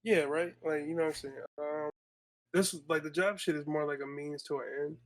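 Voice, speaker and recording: A man's voice: a little high, fairly even intonation, moderate pace, dry recording. A man in his late twenties, a podcast guest, speaking hesitantly with many ums and uhs in casual chat. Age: 20s.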